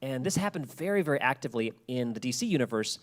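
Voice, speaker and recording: A man's voice: mid-range voice, animated, fast. A man giving a public talk to an audience, speaking emphatically and repeating key phrases.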